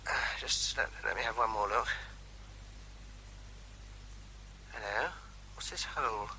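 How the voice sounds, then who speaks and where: An actor playing a methodical, wry army captain, thinking aloud haltingly as he defuses a bomb in a radio drama. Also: fairly low pitch, pushed and bright, slight background noise, rough recording. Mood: puzzled, wry. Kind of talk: radio drama, running report by field telephone.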